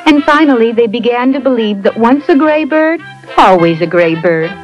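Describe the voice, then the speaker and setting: A woman narrating a scripted children's fairy tale in an expressive storytelling manner. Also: on the high side, normal speed. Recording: muffled lo-fi audio, faint music behind.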